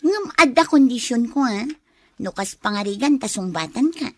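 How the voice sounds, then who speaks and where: A woman's voice: fairly high pitch, expressive, moderate pace, a little mellow, dry recording. An actress playing a young student, a coaxing, secretive girlfriend who is after money, in a radio drama.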